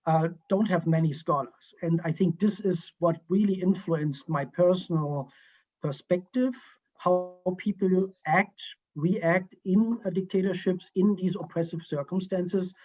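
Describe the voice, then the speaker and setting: A man with a German accent answers questions over a video call, choosing his words slowly and filling pauses with ums and uhs. His voice sits a little high. The call audio is dull and muffled. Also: steady tone, darkish tone. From East Germany. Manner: halting, many ums and uhs, restarts. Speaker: guest historian.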